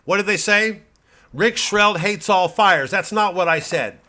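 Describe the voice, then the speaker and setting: A man playing an indignant, self-important American right-wing podcast pundit, bombastic and repetitive, with dramatic pauses. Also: sits high, sharp tone, pitched above the performer's own voice. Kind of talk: satirical political podcast monologue.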